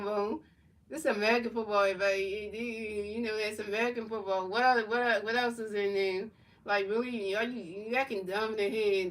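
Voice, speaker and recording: A woman's voice: mid-range voice, recorded from a distance, low-quality recording. A woman streamer doing play-by-play commentary of a football video game and answering her chat in casual slang. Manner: announces plays in a measured, even delivery.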